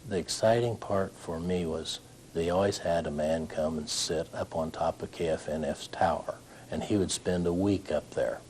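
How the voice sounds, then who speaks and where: An older man casually recalling memories as an interviewee, in a halting, measured way. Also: low-pitched, steady tone, a little mellow, slight background noise, low-quality recording. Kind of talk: casual reminiscence.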